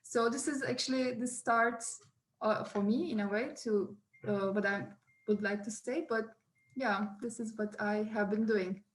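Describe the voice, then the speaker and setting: A woman from Turkey, a sociology professor speaking on an online panel, thoughtful and halting with frequent restarts. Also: on the high side, steady tone, low-quality recording.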